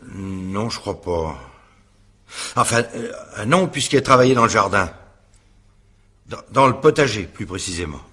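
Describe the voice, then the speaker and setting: A nervous widower questioned in a radio mystery. The voice is fairly low and rough-edged. He stammers, hedges and defends himself haltingly. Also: animated, pushed and bright, heavily acted. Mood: hesitant, subdued. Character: nervous, evasive widowed husband under questioning. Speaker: actor in a radio mystery drama. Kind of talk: French radio drama acting, naturalistic.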